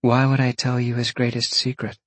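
A man's voice: mid-range voice, monotone, brisk, pitched above the performer's own voice, natural voice. A man voicing a playful, teasing young sorceress who talks in a breezy, confiding way for an audiobook.

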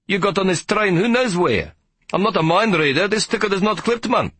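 A man's voice: high-pitched, lively intonation, brisk, a bit bright, slightly rough, dry recording, pitched above the performer's own voice, exaggerated voice. A man voicing a sour, harried male train conductor, whiny, accusing and self-pitying.

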